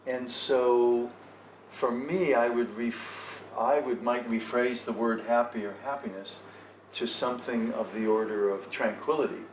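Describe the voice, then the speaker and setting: A man's voice: mid-range voice, fairly even intonation, unhurried. A man hosting a casual, reflective group conversation in a thoughtful, hesitant way. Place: cafe tea house. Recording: echoey room, slightly noisy, distant mic.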